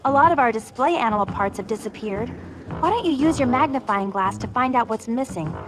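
A woman's voice: a little high, expressive, brisk, natural voice, low-quality recording. An actress playing an earnest young woman in a cartoon video game. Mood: worried, pleading. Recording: loud game music behind.

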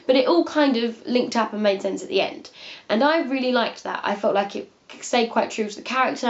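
A woman's voice: medium pitch, highs cut off. Chatty young woman with a sniffly cold. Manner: rambling, chatty, many fillers and restarts. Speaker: book reviewer. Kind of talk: casual book review. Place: living room by a window seat, indoors.

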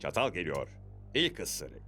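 A man's voice: sits low, big pitch swings, a little slow, music in the background, exaggerated voice. An actor playing a theatrical, suspenseful male host of a cartoon TV cooking contest. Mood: dramatic, suspenseful. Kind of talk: dramatic show announcing.

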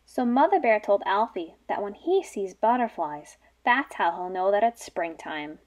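A woman's voice: medium pitch, unhurried. A young woman teacher reading aloud and explaining things expressively to young children. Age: young adult. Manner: expressive, addressing small children with questions. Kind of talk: story read-aloud and lesson for young children.

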